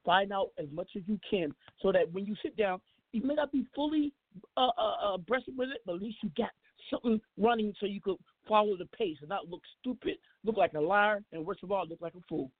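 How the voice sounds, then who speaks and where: A man in his sixties hosting an internet radio talk show, telling stories in an animated, emphatic way. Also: sits high, fairly quick, dry recording. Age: 60s. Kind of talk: casual talk radio chat. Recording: dry, phone-like call audio.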